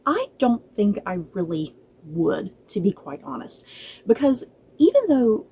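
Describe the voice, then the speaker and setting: A woman's voice: medium pitch, very animated, a little slow, dark tone, husky. A woman giving a casual, chatty spoken review, talking directly to her listeners in a conversational way. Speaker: book and film reviewer.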